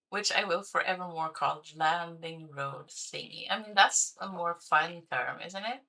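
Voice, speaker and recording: Slow, gravelly woman streamer. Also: fairly low pitch, sharp tone, rough recording. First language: Swedish. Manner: chatty, thinking aloud, hesitant with fillers. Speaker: game streamer. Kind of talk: casual livestream commentary while playing.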